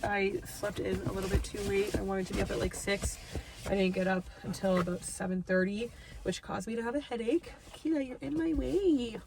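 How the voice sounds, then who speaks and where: A young woman with a nasal, stuffed-up voice chats casually into a handheld phone, drifting from her car to a walking trail outdoors. Her pitch sits in a middle range, and she slips into a sweeter, higher tone whenever she speaks to her dog. A faint hiss runs under the recording. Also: brisk, vocal fry. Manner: chatty, self-deprecating, talks in a high sweet voice to her dog. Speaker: vlogger. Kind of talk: casual vlog chat.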